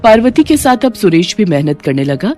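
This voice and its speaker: A woman's voice: medium pitch, big pitch swings, fairly quick, dark tone, faint music behind. A woman reading scripted moral-story narration as the storyteller.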